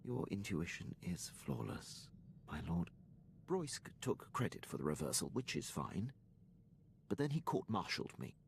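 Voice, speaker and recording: An actor playing a formal, dutiful imperial starship captain in a video game, with stiff, deferential and precise military diction. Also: male voice, sits low, sing-song, measured pace, gravelly, slight background noise, full character voice. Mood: earnest, restrained. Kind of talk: scripted video game dialogue.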